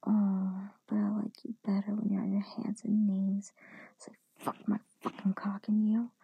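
Adult woman for intimate audio roleplay: very husky, breathy whisper with fry, commanding and teasing delivery. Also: mid-range voice, completely flat delivery, moderate pace, dry recording, highs cut off. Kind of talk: whispered erotic audio roleplay, addressing the listener.

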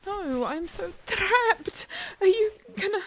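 A woman voicing a playful young catgirl who teases in breathy whispers, meows and purring growls. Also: extremely high pitch, expressive, slow pace, fairly bright tone, slight background noise, heavily acted. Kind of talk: solo audio roleplay.

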